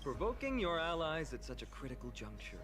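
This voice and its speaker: An actor playing an earnest young hero who speaks firmly and directly, in a video game cutscene. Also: male voice, a little high, very animated, fairly quick. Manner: firm, direct and reassuring. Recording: game audio with music behind.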